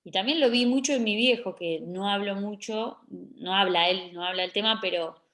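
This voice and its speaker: A woman with an Argentine accent speaks at a medium pitch as a guest in a relaxed interview. She tells personal stories in a conversational, halting way, trailing off and picking her thread back up. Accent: Argentine Spanish.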